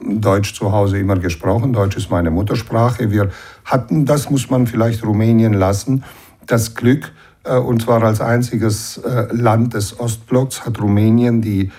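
A man in his sixties from the Banat in western Romania, explaining and recollecting as an interview guest in a measured way, often adding a short tag to his sentences. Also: on the low side, fairly even intonation, warm tone, breathy edge. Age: 60s.

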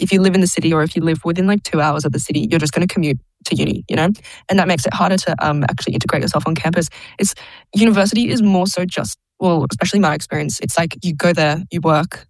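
A woman's voice: sits low, dry recording, poor audio. A young Australian woman, an international student, chatting in an interview, laughing often and filling her talk with "like" and "um". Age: young adult. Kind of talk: casual interview.

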